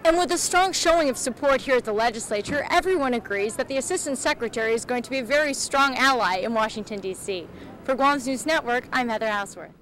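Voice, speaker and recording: A woman's voice: on the high side, fast talker, a bit bright, a little hiss or hum, rough recording. A woman delivering scripted television news reports as a field reporter.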